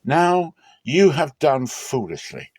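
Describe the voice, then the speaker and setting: A man's voice: big pitch swings, slow pace, slightly rough, dry recording, heavily acted. A man voicing an indignant older man confronting his son-in-law in a dramatic scripture reading, accusing and strained. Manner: accusing, loud, strained high voice.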